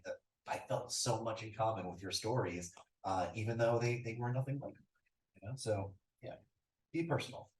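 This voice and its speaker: A man on a writers' panel answers in a casual, chatty way, full of "like". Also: lowish voice. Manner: casual, chatty, many "like" fillers. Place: event hall. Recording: roomy echo, distant mic.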